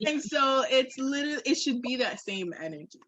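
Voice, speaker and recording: A woman's voice: high-pitched, expressive, fairly quick, noisy background. A woman in candid, casual podcast conversation, frank and reflective, swearing freely. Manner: frank, uses profanity, reflective. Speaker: podcast co-host. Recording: dull, muffled lo-fi audio.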